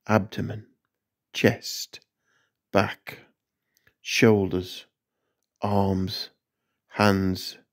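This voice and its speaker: A man narrating a scripted guided meditation in measured, instructional short phrases. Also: lowish voice, a little mellow.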